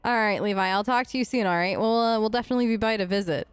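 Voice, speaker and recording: A woman's voice: medium pitch, rapid-fire, clean tone, dry recording, soft background music. A woman doing playful, informal role-play commentary as she hosts a video game let's play, chatting in character. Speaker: gaming host.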